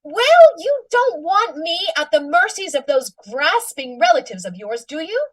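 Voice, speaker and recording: An actress playing a nagging, extravagant wife in a 1940s-style radio comedy, prim and mock-sweet. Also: female voice, high voice, big pitch swings, a little slow, fairly bright tone, far from the mic, full character voice.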